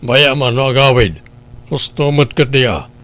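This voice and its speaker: A man's voice: medium pitch, normal speed, fairly bright tone, lightly acted. A man playing a stubborn homeowner. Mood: defensive. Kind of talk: radio drama acting.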